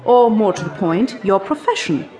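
A woman's voice: mid-range voice, expressive, moderate pace, warm tone, natural voice. An actress playing a witty, sceptical young upper-class lady in a radio drama, arch and teasing in manner. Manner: arch, mocking, well-spoken phrasing.

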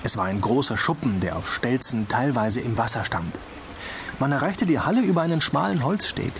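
A man calmly narrating a kids' audio drama in a scripted, descriptive way. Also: mid-range voice, moderate pace. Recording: audio drama with clear noise behind.

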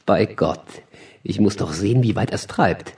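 An imperious prince-ruler with a low, husky voice. He declaims classical verse with sceptical authority, as in an audio stage drama. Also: measured pace, a little mellow, recorded from a distance, heavily acted. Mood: curious, amused. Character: commanding, sceptical male sovereign lord.

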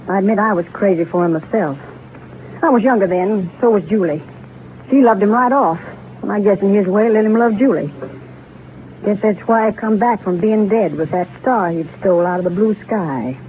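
An actress playing an older, folksy Southern carnival owner who rambles and confides like a storyteller in a 1930s radio drama. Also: female voice, mid-range voice, lively intonation, moderate pace, pauses often, natural voice. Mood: reminiscing. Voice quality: folksy, rural speech. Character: folksy aging Southern carnival show owner, wistful, garrulous woman. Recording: tinny scratchy 1930s radio broadcast. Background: music.

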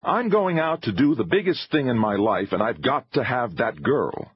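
Man voicing a forceful, impatient adventurer, pitched well up. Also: big pitch swings, normal speed, husky, no room sound, highs cut off. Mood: urgent, demanding. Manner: forceful, emphatic, insistent. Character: impatient, domineering thirty-five-year-old male adventurer film-maker. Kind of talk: dramatised audiobook dialogue.

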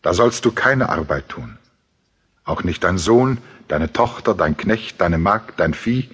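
A man reciting scripture in a grave, measured, solemn manner. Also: deep voice, expressive, fairly bright tone, breathy edge. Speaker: audiobook reader of scripture. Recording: long reverberant hall echo.

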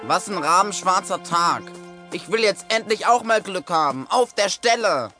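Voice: mid-range voice, animated, moderate pace, over music, natural voice. An actor voicing a cheeky, grumpy, stubborn little raven boy in a children's audio play, with whiny, boastful bluster. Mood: grumpy, indignant.

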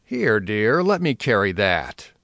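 A man's voice: lowish voice, very animated, slow, gravelly, a bit bright, dry recording, clean studio sound, exaggerated voice. A man playing a courteous, affable husband on a journey in an audio drama. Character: courteous, affable husband travelling by train with his wife.

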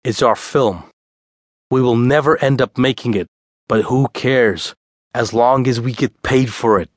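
A man's voice: medium pitch, a little slow, no room sound, clean studio sound, close to an everyday voice. A man voicing a bossy, proud male ringleader who rallies his troupe in a declamatory, boastful way. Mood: rallying.

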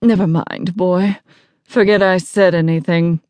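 A woman's voice: lowish voice, steady tone, unhurried, a little mellow, no echo, lightly acted. Woman putting on a pompous, condescending man. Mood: dismissive. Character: haughty, smug adult man, a disdainful council official. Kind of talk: audiobook character dialogue.

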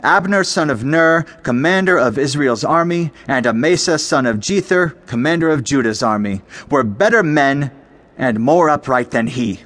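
A man's voice: mid-range voice, fairly bright tone. A man playing a stern young king in a dramatized scripture recording, speaking with solemn, emphatic authority. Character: stern, commanding young king passing judgment. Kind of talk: dramatized scripture audiobook acting.

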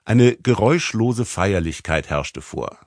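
A man narrating a literary audiobook in a measured, formal manner with rhetorical emphasis. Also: on the low side, lively intonation, a little mellow, no echo, studio quality. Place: dry recording studio.

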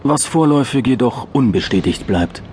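A man's voice: medium pitch, lively intonation, moderate pace, a little mellow, a little hiss or hum, lightly acted. A male actor with an Austrian accent narrating a satirical crime radio play in dry, bureaucratic style. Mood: dry, officious. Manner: dry, officious, mock-bureaucratic wording. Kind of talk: scripted crime audio drama narration.

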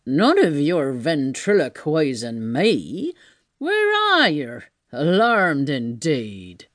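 A man's voice: on the high side, wildly swooping pitch, slow pace, fairly bright tone, no room sound, clean studio sound, heavily acted. A man voicing a scruffy, bewildered tramp in an audiobook, muttering and exclaiming in slang. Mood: alarmed, indignant. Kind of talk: audiobook character voice.

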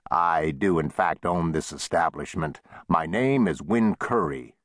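Man acting a very deep, wry old saloon owner. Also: measured pace, fairly bright tone, dry recording, studio quality.

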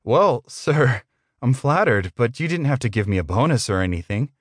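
A man's voice: fairly low pitch, animated, moderate pace, no room sound, clean studio sound, close to an everyday voice. A man voicing a meek male office employee in an audiobook, deferential, polite and a little hesitant. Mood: flustered, confused. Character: meek, earnest, bewildered male office worker.

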